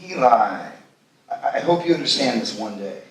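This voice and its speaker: A man's voice: mid-range voice, animated, moderate pace, crowd noise, lightly acted. Man acting smitten man in his thirties, self-absorbed, gushing, live script reading, distant mic, big echo. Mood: earnest. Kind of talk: live screenplay table read. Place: live festival stage reading in a large room. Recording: echoey hall, distant mic, noisy.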